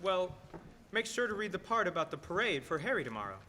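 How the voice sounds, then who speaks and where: A man's voice: high-pitched, sing-song, fast, bright, forceful tone, heavily acted. A man playing a flustered, forgetful old uncle in an old-time radio play. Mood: gloating. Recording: noisy, reverberant stage recording.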